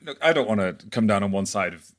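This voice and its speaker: A man's voice: lowish voice, animated, quick pace. A man hosting a podcast with a wry, playful manner, moving between scripted introduction and chatty interviewing. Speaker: podcast host and editor.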